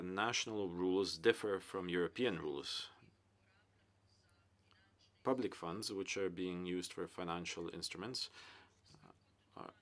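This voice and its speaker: Low-voiced guy doing live interpreting at a panel, halting, lots of uh's. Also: fairly bright tone. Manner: hesitant, frequent pauses and fillers. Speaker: conference interpreter. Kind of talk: simultaneous interpretation into English.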